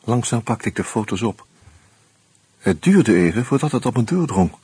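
An actor playing a reflective, wry man who tells his story in a radio play, in a musing, halting, conversational way. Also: medium pitch, very animated, darkish tone, slightly rough, no echo. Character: reflective male friend telling his story, wry, hesitant. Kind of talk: intimate first-person radio drama narration and dialogue.

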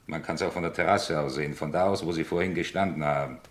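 A man playing a gruff, suspicious witness in a radio crime drama, curt, grudging and defensive. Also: a bass voice, steady tone, quick pace. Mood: evasive, grudging.

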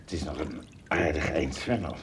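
An actor playing a good-natured, obliging giant traveller in a radio play, very raspy and often hesitant. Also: male voice, rumbling low, normal speed, heavy background noise. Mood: breathless, excited. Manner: hesitant, stammering. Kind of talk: Dutch radio play acting.

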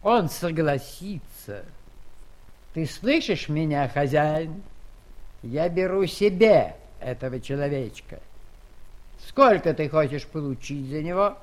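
An actress playing an imperious, playful giant queen in a theatrical, deliberate manner for a children's audio play. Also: sits low, lively intonation, darkish tone. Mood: gracious command.

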